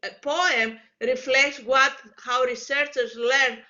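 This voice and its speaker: A woman from Greece with a Greek accent, a researcher speaking on a panel, halting with many fillers and non-native phrasing. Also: high voice, fairly even intonation, bright, forceful tone, lo-fi sound. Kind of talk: panel discussion.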